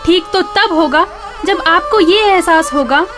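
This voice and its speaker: A high-voiced wife in a radio drama, sharp-tongued and theatrical, speaking. Music plays behind her. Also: measured pace. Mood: scolding. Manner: scolding, complaining, theatrical. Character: nagging, sharp-tongued village wife of a poor blind labourer. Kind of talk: Hindi radio drama acting.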